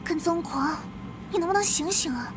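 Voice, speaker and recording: A woman playing a devoted young noblewoman in an animated court romance. Her voice is extremely high, earnest and emotional, with clear noise behind it. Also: normal speed. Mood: scolding, urgent. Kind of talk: dramatic period animation dubbing.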